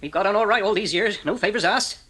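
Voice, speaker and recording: Husky, blustering working-class English porter. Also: male voice, high-pitched, fast, bright, forceful tone. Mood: indignant. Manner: blustering, plain-spoken. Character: proud, prickly, working-class English railway porter, a grown man. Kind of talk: dramatised audiobook acting.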